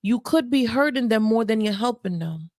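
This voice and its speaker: A woman's voice: on the high side, expressive, moderate pace, darkish tone, dry recording, studio quality. A woman life coach giving advice on a livestream, emphatic and repeating points for stress.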